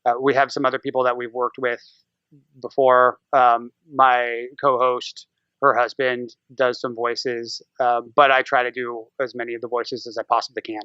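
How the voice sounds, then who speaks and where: A man's voice: mid-range voice, little pitch movement, moderate pace, pushed and bright, clean tone, no room sound, dull audio. A man chatting casually as a podcast guest, rambling playfully as he tells stories. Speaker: podcaster and amateur voice actor. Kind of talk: casual interview chat.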